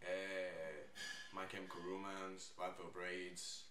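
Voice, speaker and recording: A young Scottish man in a band, chatting casually in a video Q&A, laid-back and trailing off softly. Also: deep voice, monotone, takes it slowly. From Scotland. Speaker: band singer. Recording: echoey room, mic far off, faint noise.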